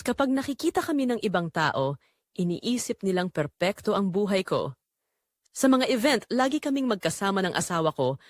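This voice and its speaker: A woman playing a lonely wealthy wife who confesses forbidden longing in a measured, dramatic first-person story narration. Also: medium pitch, no room sound, studio quality. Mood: wistful, confiding.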